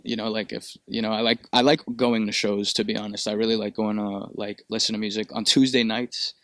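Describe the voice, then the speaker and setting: A man's voice: on the low side, level tone, brisk, a bit bright, dry recording. A young man from Peru, a musician answering interview questions in a loose, rambling way with lots of fillers and swearing. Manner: laid-back, rambling with many fillers and profanity. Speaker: musician guest. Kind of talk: casual interview.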